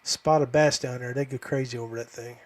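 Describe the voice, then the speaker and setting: A man hosting a podcast in a casual, folksy way, halting and often trailing off. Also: medium pitch, steady tone. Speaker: podcast host. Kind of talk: casual livestream chat.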